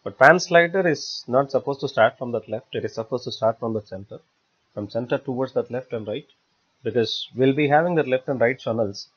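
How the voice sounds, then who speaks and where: Guy doing a screencast tutorial, mid pitch, kinda halting, muffled lo-fi mic. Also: slightly rough. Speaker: software tutorial instructor. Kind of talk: step-by-step screencast tutorial narration. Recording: dull lo-fi voice-over recording.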